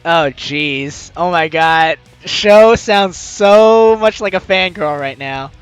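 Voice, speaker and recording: A man playing a visual novel, reading the text aloud with excitable, joking reactions and the odd stumble, over music. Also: on the high side, slow pace, clean tone, no echo. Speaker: let's player. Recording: music behind the voice.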